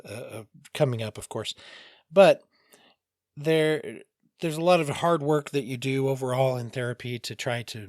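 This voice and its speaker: A man hosting a casual solo mental health podcast, his voice a bit raspy, rambling with jokey asides and self-corrections. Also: mid-range voice, no room sound, clean studio sound. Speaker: podcast host, therapist.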